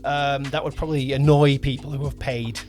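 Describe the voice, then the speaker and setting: Male podcast co-host, mid-pitched, casual and self-correcting, over music. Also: steady tone, a little fast, a little mellow. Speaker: podcast co-host, audio drama producer.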